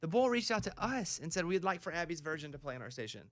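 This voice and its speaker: A man's voice: on the high side, big pitch swings, fast talker. A man hosting a morning radio show from the studio, teasing his cohosts and steering the banter. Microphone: studio microphone.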